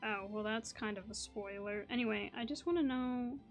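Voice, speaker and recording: A woman's voice: on the high side, moderate pace, soft background music. A woman hosting a gaming video, giving casual, musing commentary that wanders off on tangents. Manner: musing, digressive. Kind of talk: casual chatty playthrough commentary.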